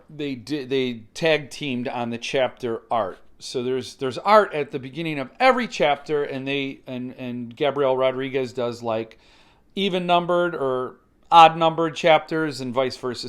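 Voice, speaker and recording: Higher-pitched man, casual unboxing commentary. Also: clean tone. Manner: conversational, opinionated, explanatory. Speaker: book collector reviewer, channel host.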